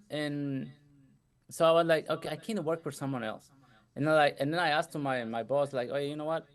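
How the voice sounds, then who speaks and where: A middle-aged man from Ecuador with a light Ecuadorian Spanish accent, telling his story as a guest in a casual interview, chatty and laughing often. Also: medium pitch. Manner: chatty, laughs often, says "you know" a lot. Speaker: guest entrepreneur.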